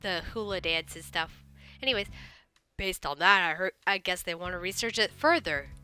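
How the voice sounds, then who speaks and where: A woman's voice: fairly low pitch, expressive, normal speed, pushed and bright, clear, resonant tone, soft background music. A woman hosting a let's play, recovering from a cold, chatting casually and rambling as she reads along. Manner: rambling, chatty, frequent fillers and restarts. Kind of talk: casual gameplay commentary and reading aloud.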